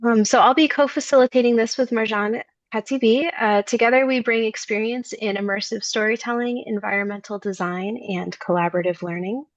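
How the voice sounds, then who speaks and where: A woman's voice: fairly high pitch, fairly even intonation, a little fast, few pauses, no echo. A woman in her thirties who grew up in Maine, presenting a design workshop over a video call with frequent ums and uhs. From Maine, United States. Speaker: design lecturer and workshop facilitator. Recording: dull, dry video call audio.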